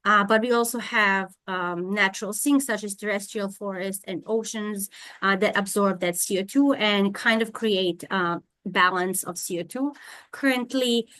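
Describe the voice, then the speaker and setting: A woman's voice: medium pitch, measured pace, a bit bright, no room sound. Hesitant woman lecturing over a video call. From Serbia. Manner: hesitant, many fillers and restarts. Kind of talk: online scientific lecture. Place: home in Thailand during monsoon rain.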